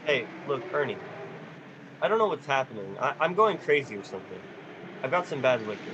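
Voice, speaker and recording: A man's voice: mid-range voice, big pitch swings, fairly quick, highs cut off, noisy background, lightly acted. A man acting a desperate, stubborn family man in an amateur radio play. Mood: confused.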